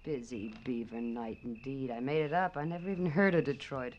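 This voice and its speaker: An American woman acting a sly, sharp-tongued, flirtatious wife in a vintage radio crime drama. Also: a bass voice, steady tone, brisk, lo-fi sound. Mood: sly, mocking. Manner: sardonic, teasing. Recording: hissy vintage radio recording.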